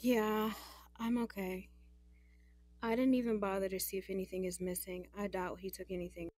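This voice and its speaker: A woman's voice: mid-range voice, level tone, moderate pace, a little mellow, dry recording, natural voice. An actress as a young woman in an audio drama, close and dry in sound, with a mid-range voice. She is sardonic and guarded, recounting things in a frank, fed-up way. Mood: subdued.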